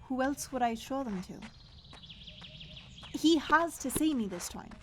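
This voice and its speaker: A woman's voice: sits high, lively intonation, a little slow, slight background noise. A woman playing a stubborn, sardonic blacksmith's daughter in a fantasy audio roleplay, wry and casual in manner. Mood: eager, determined.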